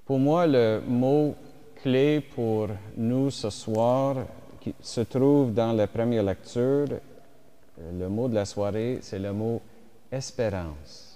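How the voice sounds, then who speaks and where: A man whose first language is English, giving a slow, reflective French homily as a bishop at mass, with pauses and fillers. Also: mid-range voice, dark tone. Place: church. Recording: reverberant church hall.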